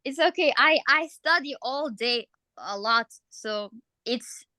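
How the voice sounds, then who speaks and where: Teenage girl, video call, casual friendly chat, fairly high voice, dry close mic. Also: expressive, slow, sharp tone, no echo. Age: teens. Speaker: guest language speaker. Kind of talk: casual conversation.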